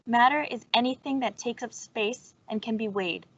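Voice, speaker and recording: A woman's voice: fairly high pitch, normal speed, fairly bright tone. A woman reading a children's science book aloud over a video call. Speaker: storytime reader. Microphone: video call microphone. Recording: dull, lo-fi video call audio.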